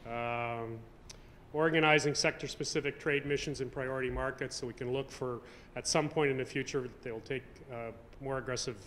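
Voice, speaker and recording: A man's voice: mid-range voice, fairly even intonation, normal speed, fairly bright tone. A middle-aged man from Canada giving a conference speech at a podium, hesitant, with many fillers and restarts. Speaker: convention speaker. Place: hotel conference room. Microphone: podium microphone. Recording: reverberant hall, faint room noise.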